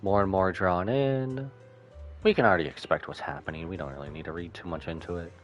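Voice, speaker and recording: A man's voice: sits low, a little fast, muffled recording. A man streaming a game, giving casual live commentary and reading along. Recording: game music faintly behind the voice.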